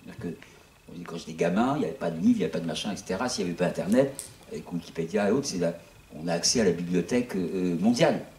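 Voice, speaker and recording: A man in his sixties talks loosely to a small audience, circling back and restarting his sentences. His voice sits on the low side. It comes from some distance off in an echoey room, with faint ambient noise behind it. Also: fast, a little mellow. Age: 60s. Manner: rambling, with many restarts and fillers. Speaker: founder of an online news outlet. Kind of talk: casual talk to an audience.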